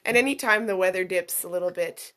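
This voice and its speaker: A woman's voice: on the low side, brisk, a bit bright. A woman co-hosting a casual podcast, a little froggy and sniffly from a cold, chatting and telling stories with a teasing humor. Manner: chatty, storytelling, self-teasing. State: congested with a cold. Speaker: podcast co-host. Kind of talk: casual chat.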